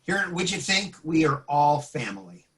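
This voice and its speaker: A man's voice: on the high side, sing-song, normal speed, a bit bright, slightly rough, pitched below the performer's own voice, heavily acted. A man playing a weary, friendly job interviewer in improvised sketch comedy, chummy and casual. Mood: polite.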